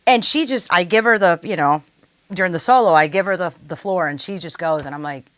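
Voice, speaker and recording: A woman hosting a music interview, telling stories in a chatty, animated way. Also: on the low side, fairly quick, no room sound. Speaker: podcast host and singer. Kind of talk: casual interview conversation.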